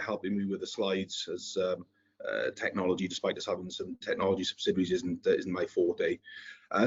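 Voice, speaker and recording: A man with a Welsh accent presents in a business webinar, his voice very low and a bit husky. He hesitates often, filling gaps with ums and restarts. The sound is muffled, as from an online call. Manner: hesitant, many fillers and restarts. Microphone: video call.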